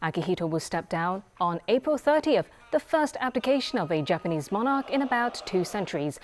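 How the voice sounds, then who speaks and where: A woman's voice: mid-range voice, very animated, a little fast. A woman anchor reading scripted television news.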